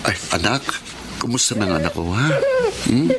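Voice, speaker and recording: A broke young filipino dad in a radio drama, sincere, deepish voice, lots of background noise. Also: male voice, expressive, fairly quick. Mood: tender, cheerful. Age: young adult. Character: hard-up earnest young husband and father. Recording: noisy radio drama track.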